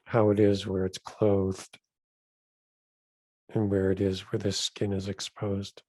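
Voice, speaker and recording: A man leading a guided meditation as a rabbi, phrasing each instruction gently and deliberately, with pauses between short phrases. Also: deep voice, completely flat delivery, no echo.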